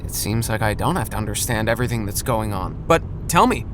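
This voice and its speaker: A man playing a bemused, cautious middle-aged dad with a wry, halting manner in a children's audio drama. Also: fairly low pitch, animated, slight background noise, lightly acted. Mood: puzzled.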